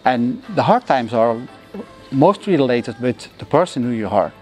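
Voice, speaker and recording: A middle-aged man with a Flemish accent speaks at a medium pitch, reflecting slowly and stopping often for "uh" as he searches for words. His phrasing is careful and a bit non-native. Faint music plays underneath. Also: big pitch swings, a little mellow. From Belgium (Flanders). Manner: halting, frequent "uh" pauses, non-native phrasing. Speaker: interviewee, entrepreneur. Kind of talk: reflective interview answers.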